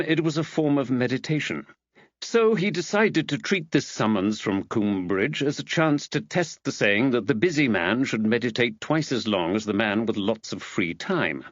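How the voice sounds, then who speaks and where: Animated male audiobook narrator, slightly low voice. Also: dry recording, muffled recording. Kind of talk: scripted mystery narration.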